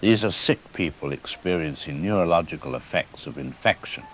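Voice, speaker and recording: A man's voice: low-pitched, animated, normal speed, a little hiss or hum, low-quality recording. A man playing a cautious government doctor in a radio drama interview, speaking in a careful, diplomatic, official manner. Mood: guarded concern. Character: cautious, measured male government doctor giving expert comment.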